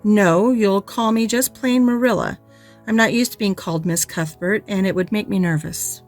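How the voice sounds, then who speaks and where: A woman's voice: medium pitch, moderate pace, soft background music, close to an everyday voice. A woman voicing a stern, dry, unbending middle-aged spinster, curt and disapproving, in an audiobook reading. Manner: curt, disapproving and matter-of-fact.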